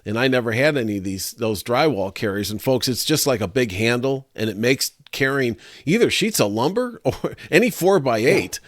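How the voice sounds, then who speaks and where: A man co-hosting a radio show, asking questions in a halting, casual way with many restarts. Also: mid-range voice, lively intonation, no echo, clean studio sound. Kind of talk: casual interview chat.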